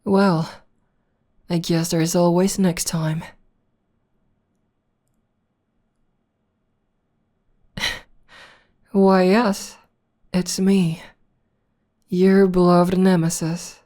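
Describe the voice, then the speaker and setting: A woman's voice: on the low side, little pitch movement, mellow. A woman playing a theatrical female supervillain in an ASMR roleplay, arch and teasing, coaxing and tender with a beloved enemy. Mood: amused, teasing. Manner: arch, unhurried, coaxing, mock-grand.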